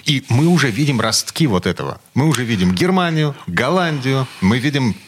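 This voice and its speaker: A man's voice: medium pitch, very animated, fairly quick. A man hosting a studio discussion, talking with frequent hesitations and fillers. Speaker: host.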